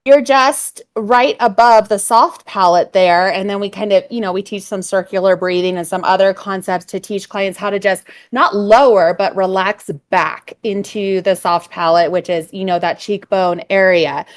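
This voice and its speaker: A woman voice coach from California with a little vocal fry, explaining vocal technique at length in a casual conversation, with frequent "um"s. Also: mid-range voice, steady tone, normal speed, few pauses. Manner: fluent, explanatory, many "um"s.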